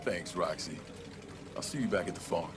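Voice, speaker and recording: A man's voice: low-pitched, normal speed, very noisy, natural voice. A man playing a tough, cool young fighter in a video game, laconic and wry. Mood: calm, wry. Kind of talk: video game cutscene acting. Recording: loud music under the voice.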